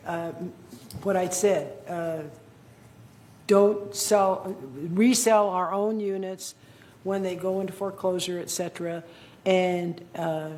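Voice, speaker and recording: A man giving an impromptu answer at a meeting in halting, broken-up phrases. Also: high-pitched, fairly even intonation, darkish tone.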